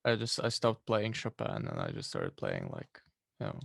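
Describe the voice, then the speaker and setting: A young man from Poland, a musician guest, answering interview questions in a relaxed, rambling way with lots of fillers. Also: a bass voice, normal speed, creaky, no room sound, studio quality.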